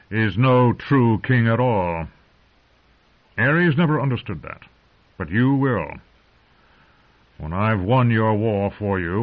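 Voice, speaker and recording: A man voicing a stern, commanding old patriarch in a fantasy audiobook, speaking in a measured, authoritative manner. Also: mid-range voice, voice pushed up, close to an everyday voice. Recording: narrow, phone-like lo-fi audio.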